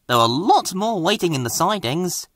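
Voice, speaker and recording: A man voicing a friendly female diesel engine in a storybook, brisk and cautioning. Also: on the high side, sing-song, fairly bright tone, dry recording, clean studio sound, voice pushed up.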